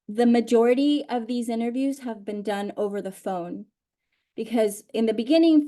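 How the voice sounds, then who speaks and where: Guest, female, native Spanish speaker from Honduras, warm mellow tone, reflective with fillers. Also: mid-range voice. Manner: thoughtful, many fillers and restarts. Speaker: oral historian guest. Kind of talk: conversational interview answer.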